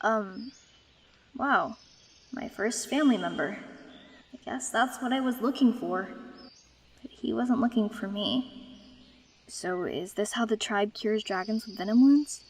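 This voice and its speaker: A woman's voice: mid-range voice, unhurried, long pauses, slightly rough. A woman playing a proud, wounded young female dragon in a fantasy dub, dry, guarded and sarcastic. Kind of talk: dubbed fantasy drama acting.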